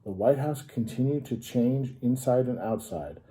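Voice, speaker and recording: An American man reading a history story aloud to children, pausing to add simple informal explanations. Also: mid-range voice, expressive, mellow. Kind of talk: read-aloud storytelling with informal asides to young listeners.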